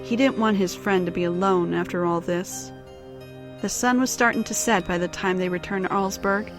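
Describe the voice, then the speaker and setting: A woman's voice: mid-range voice, steady tone, normal speed, over music. A woman reading scripted storybook narration for an audio drama.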